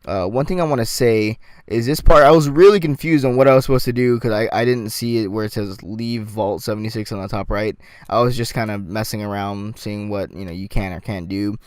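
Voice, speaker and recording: A man giving casual gameplay commentary, slightly raspy from a sore throat, rambling with lots of ums and restarts. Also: lowish voice, a little fast, hardly any pauses, no echo, studio quality.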